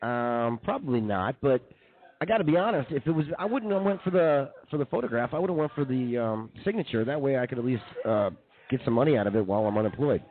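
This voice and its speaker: Male comedy co-host, slangy and quick-talking, phone-like narrow sound. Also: medium pitch, expressive, a little hiss or hum. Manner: colloquial, peppers talk with "man" and "dude". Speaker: comedy radio show co-host. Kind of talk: casual joking banter. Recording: tinny phone-like band-limited sound.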